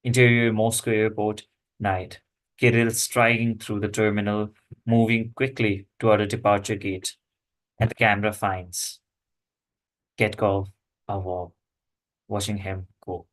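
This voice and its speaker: A man reading screenplay stage directions aloud in halting, fragmented phrases. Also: lowish voice, flat delivery, low-quality recording. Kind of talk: script read-aloud.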